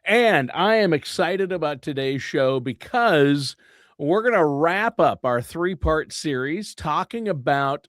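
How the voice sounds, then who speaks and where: A man co-hosting a financial podcast, upbeat and encouraging, agreeing often with quick remarks. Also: fairly high pitch, dry recording, studio quality. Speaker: podcast co-host. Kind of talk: casual podcast chat.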